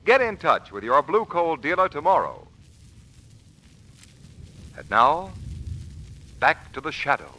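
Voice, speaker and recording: A man's voice: a little high, huge pitch swings, slow pace, long pauses. A man reading a 1940s radio commercial with polished, emphatic salesmanship. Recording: noisy old radio recording.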